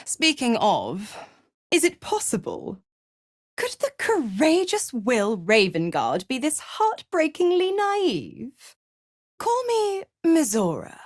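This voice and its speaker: An actress voicing a seductive, gloating devil patron in a video game, with a silky, condescending, theatrical manner of cruel teasing. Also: female voice, sits high, wildly swooping pitch, slow pace, no room sound, clean studio sound, heavily acted. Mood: mocking, gloating. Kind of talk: video game character voice acting.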